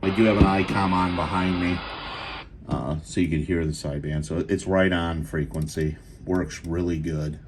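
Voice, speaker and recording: A man giving a casual, unscripted product demo as a seller, speaking plainly and hesitantly with frequent pauses. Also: sits low, fairly even intonation. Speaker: auction seller demonstrating radio gear. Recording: clear background noise, home video.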